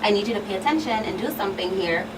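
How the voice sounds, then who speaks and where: A woman's voice: medium pitch, lively intonation, rapid-fire. A woman in her thirties speaking as a guest expert in an earnest panel discussion, explaining with everyday examples. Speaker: talk show guest expert. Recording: distant mic, clearly noisy room.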